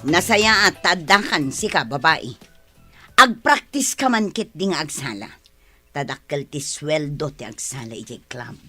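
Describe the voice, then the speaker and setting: A domineering village mother scolds in a sharp voice with wide swings and an Ilocano accent. She is greedy and mocking, in radio drama style over faint music. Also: deep voice, sing-song, measured pace, heavily acted. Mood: scolding, demanding. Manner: pushy, mocking.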